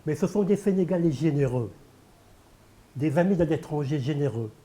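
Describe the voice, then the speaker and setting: A man's voice: a little high, animated, moderate pace, warm tone. An older Senegalese man, a poet speaking French as a second language to Pulaar, answering in an interview with emphatic, repeated phrases. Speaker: poet and candidate guest. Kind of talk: televised interview.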